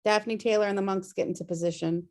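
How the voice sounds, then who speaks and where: Woman reading out screenplay action lines, middle pitch, video call table read. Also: steady tone, fast, darkish tone. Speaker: stage directions reader. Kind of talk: scripted narration at a table read.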